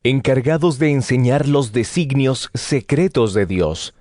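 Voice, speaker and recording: An actor playing a grave male co-writer of an apostolic letter in a dramatized Bible reading, deliberate and solemn. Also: mid-range voice, lively intonation, a little mellow, dry recording, studio quality, natural voice. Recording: plucked guitar music behind voice.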